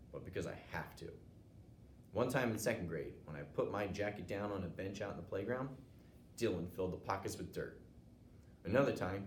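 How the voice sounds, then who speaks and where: An American man narrating a children's storybook, reading aloud as a teacher would. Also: sits low. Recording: roomy echo, noticeable background noise.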